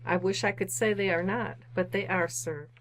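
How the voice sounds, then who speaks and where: A woman's voice: medium pitch, fairly even intonation, normal speed, natural voice, rough recording. An actress playing a polite, earnest, genteel lady collecting for charity, formal and courteous, in old-time radio drama style. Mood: earnest, persistent.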